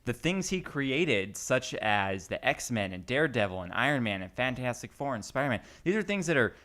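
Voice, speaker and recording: A man's voice: mid-range voice, very animated, moderate pace, fairly bright tone. A man hosting a podcast in a casual, chatty and jokey way with slangy wording. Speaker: podcast host. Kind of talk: casual chat.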